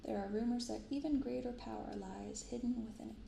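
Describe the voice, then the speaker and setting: A woman speaking softly in an ASMR style, giving gentle, unhurried casual commentary as host of a card-opening video. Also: fairly high pitch, gravelly, roomy sound, slight background noise. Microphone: binaural microphone.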